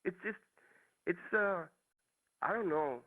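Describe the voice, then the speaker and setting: A man's voice: sits high, takes it slowly, narrow, tinny audio. A man from Mexico with a Mexican Spanish accent, calling in to a talk show and searching for words with many restarts. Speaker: caller. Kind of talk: casual call-in chat.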